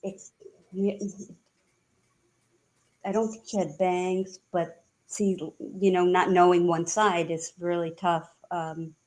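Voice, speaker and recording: A woman from New England with a subtle regional accent, chatting hesitantly and laughing softly as she gets a reading. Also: fairly low pitch, little pitch movement, slow. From New Hampshire and Massachusetts, US. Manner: hesitant, frequent soft laughter. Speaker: guest receiving a reading. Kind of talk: casual chat.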